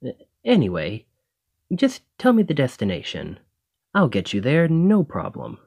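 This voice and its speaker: Man playing a slow-talking, cheeky carriage driver. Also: mid-range voice, wildly swooping pitch, creaky, darkish tone, husky, no room sound, full character voice. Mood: coaxing, sheepish. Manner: casual, rambling, feigned awkwardness, insinuating. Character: chatty, cheeky stand-in carriage driver, slyly probing. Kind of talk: ASMR roleplay acting, addressing the listener.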